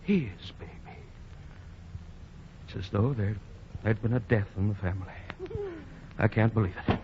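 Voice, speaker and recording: An actor playing a stubborn, excitable older man who is a grandfather and a store owner, in a 1940s radio drama. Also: fairly low pitch, normal speed, slight background noise, natural voice. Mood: crushed. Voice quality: blustery, excitable. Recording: dull old radio broadcast.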